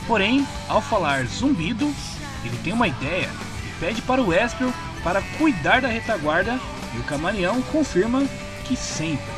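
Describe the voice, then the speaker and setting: A man's voice: sits high, big pitch swings, moderate pace. A man with a Brazilian accent reading a scripted retelling of a comic story as narrator. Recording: music bed behind the voice. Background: clear background music.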